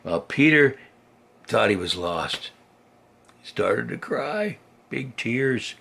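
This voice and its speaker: Gravelly older man telling children's stories. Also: mid-range voice, slow. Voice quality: husky, gravelly. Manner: simple explanations, playful, expressive. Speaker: grandfather reading a children's story. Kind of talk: storytelling to a young child with chatty asides.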